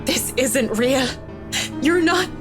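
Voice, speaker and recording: A woman's voice: high-pitched, expressive, slow, over music. An actress voicing a terrified, guilt-ridden young female student in a horror visual novel, anguished and pleading. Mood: panicked denial.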